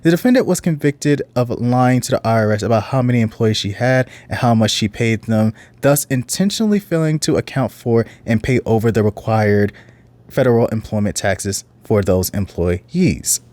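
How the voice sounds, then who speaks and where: An earnest man with a deep voice, giving casual, reflective reaction commentary. His pitch sits in a middle range, and the recording is dry and close to the mic, with no room sound. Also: expressive, normal speed, a little mellow, dry recording. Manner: earnest, reflective, sometimes reads out case details.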